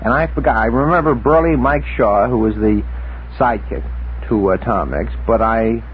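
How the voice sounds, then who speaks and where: A man reminiscing casually as a radio panelist, with a hesitant, halting delivery. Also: medium pitch. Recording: muffled phone-like vintage radio tape, noisy.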